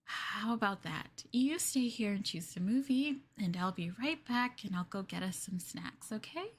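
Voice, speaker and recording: A woman's voice: medium pitch, expressive, normal speed, slight background noise, lightly acted. A woman playing a clingy, sweetly possessive young girlfriend in an ASMR roleplay, with a coy, hesitant, coaxing delivery. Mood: hesitant, soft. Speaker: streamer performing ASMR roleplay.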